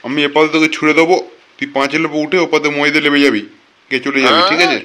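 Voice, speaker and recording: Man as a fast, bright-voiced streetwise convict. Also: a little high, steady tone. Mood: matter-of-fact. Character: gruff, streetwise older-brother convict, teasing yet sentimental. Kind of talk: comic cartoon dubbing.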